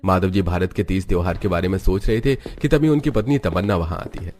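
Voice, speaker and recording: A man's voice: sits low, fairly quick, darkish tone. A man narrating a scripted family cartoon story. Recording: background music under the voice.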